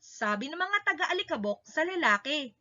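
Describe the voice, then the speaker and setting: High, bright Filipino woman telling children's stories. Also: sing-song, moderate pace. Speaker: children's storyteller. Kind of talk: expressive read-aloud storytelling for children. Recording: dull, lo-fi recording.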